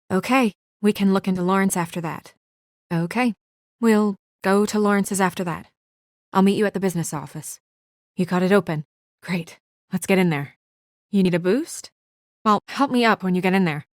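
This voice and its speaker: A woman's voice: fairly low pitch, normal speed, pauses often, no room sound, close to an everyday voice. A woman acting as a composed, earnest young investigator in a noir fantasy video game, serious and restrained with a dry edge. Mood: urgent. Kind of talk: video game voice acting, naturalistic dramatic dialogue.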